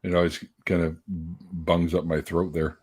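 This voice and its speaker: Laughing, husky-voiced man host. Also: low-pitched, little pitch movement, a little slow, a little mellow. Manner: laughs often, teasing. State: been drinking beer. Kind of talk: casual chat.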